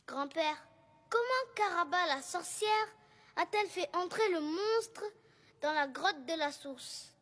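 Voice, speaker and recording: Tiny cartoon boy, super high voice, bold and curious, big long reverb. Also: animated, takes it slowly, fairly bright tone, exaggerated voice. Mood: curious, insistent. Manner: questioning, earnest, plain-spoken. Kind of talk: animated film dialogue.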